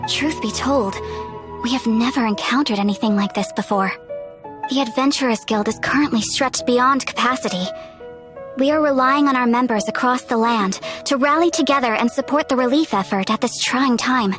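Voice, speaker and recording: A woman playing a professional guild receptionist in a video game, composed and formal, with measured, instructive speech. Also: a little high, music in the background, close to an everyday voice. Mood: earnest, formal.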